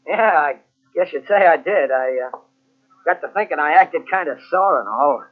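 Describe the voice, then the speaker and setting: A man's voice: a little high, expressive. Tinny old-radio tough young guy. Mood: sheepish, halting. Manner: halting, tough-guy slang. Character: jilted, sore but steely young man turned rescuer. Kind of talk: 1940s radio crime drama acting. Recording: muffled, tinny old radio recording from cassette.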